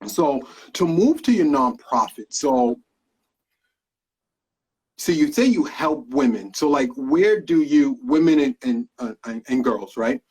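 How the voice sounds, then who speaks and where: Husky man hosting. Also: medium pitch, animated, takes it slowly, darkish tone, poor audio. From Guyana. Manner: hesitant, many fillers and restarts. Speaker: host interviewer. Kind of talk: casual interview.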